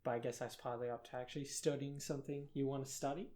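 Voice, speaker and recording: A man's voice: medium pitch, fairly even intonation, quick pace, mellow. A young man from South Africa with a British accent, co-hosting a casual podcast chat in a rambling, self-deprecating way with many fillers. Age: young adult. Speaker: podcast co-host.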